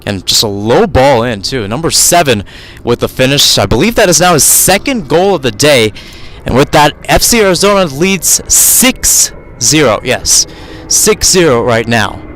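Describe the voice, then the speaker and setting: A man's voice: mid-range voice, sing-song, normal speed, a bit bright, slight background noise, rough recording. A man calling live soccer play-by-play for radio from outdoor fields, talking in a rambling way and often correcting himself.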